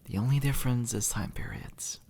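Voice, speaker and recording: A man's voice: medium pitch, steady tone, moderate pace, warm tone, slightly rough, close to an everyday voice. A man playing a sardonic, impatient demon in a conversational audio roleplay, blunt and sarcastic, speaking straight to the listener. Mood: exasperated, sarcastic. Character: sardonic, impatient male demon, centuries dead, gruffly reassuring.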